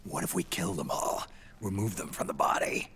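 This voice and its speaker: Casting: man to play an aged, stoic game soldier, deep raspy near-whisper, terse, noisy ambience behind. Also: moderate pace, close to an everyday voice. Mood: grim, stubborn. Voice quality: gravelly, hushed rasp. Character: weary, terse, rapidly aging male soldier. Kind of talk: dramatic video game cutscene acting.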